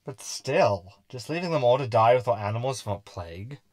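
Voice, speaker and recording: A man's voice: medium pitch, animated, fairly quick, no echo, natural voice. An actor playing a sardonic, indignant male crew member in a science fiction audio sitcom.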